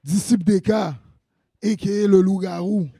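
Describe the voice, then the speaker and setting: A man's voice: sits high, steady tone, normal speed, dark tone, breathy edge. A man with a Quebec French accent hosting a web TV interview, hyping up his guests. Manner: enthusiastic, hypes up guests. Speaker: web TV host.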